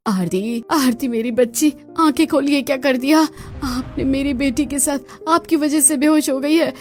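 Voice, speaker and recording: A woman's voice: sits high, measured pace. A woman voicing a scheming, fiercely protective middle-aged mother in a Hindi cartoon story, with a dramatic, scolding delivery. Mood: anguished, furious.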